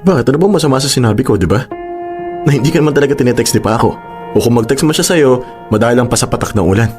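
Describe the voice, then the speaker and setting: A man's voice: mid-range voice, lively intonation, rapid-fire, music in the background, lightly acted. A man with a Filipino accent acting a persistent, emotional young man in love in a radio drama. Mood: insistent. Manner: teasing, insistent, emotional.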